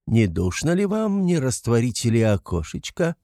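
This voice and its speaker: A man's voice: mid-range voice, sing-song, moderate pace, warm tone, no echo, studio quality. A man playing a wily, fussy police investigator from a classic novel, falsely fatherly, rambling and coaxing in an obsequious way. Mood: sly, taunting.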